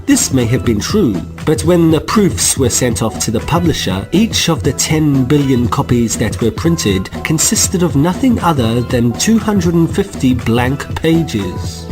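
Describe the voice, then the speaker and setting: A man giving wry, deadpan, mock-formal comic narration for a science-fiction radio play. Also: mid-range voice, measured pace, few pauses. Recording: music behind narration.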